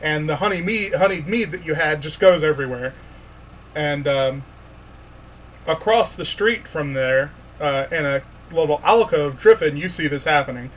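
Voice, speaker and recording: A man working as game master, narrating a tabletop fantasy game in an informal, halting way with pauses. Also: fairly high pitch.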